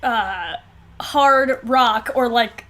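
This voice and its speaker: A woman's voice: a little high, very slow, fairly bright tone. A woman co-hosting a podcast in casual, comedic chat, with an exclamatory, dramatic, sweary way of talking. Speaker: podcast co-host.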